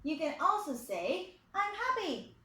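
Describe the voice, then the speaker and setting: A woman in her twenties from Poland, teaching English to young children in a video lesson, repeating each phrase slowly for them to copy. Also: very high voice, sing-song, bright, forceful tone, echoey room. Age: 20s. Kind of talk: slow, clearly spoken video lesson for kids.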